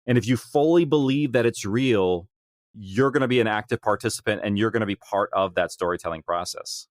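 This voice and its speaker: A man's voice: fairly low pitch, brisk, no room sound. A man speaking as a podcast guest about his creative work, earnest, reflective and discursive. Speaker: audio drama creator, interview guest. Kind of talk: reflective podcast interview talk.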